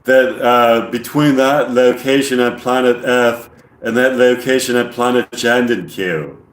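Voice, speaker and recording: Man acting as alien, monotone, put-on English accent, very slow and deliberate, echoey room. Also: medium pitch, natural voice. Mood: flat, solemn. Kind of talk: reading a script in character.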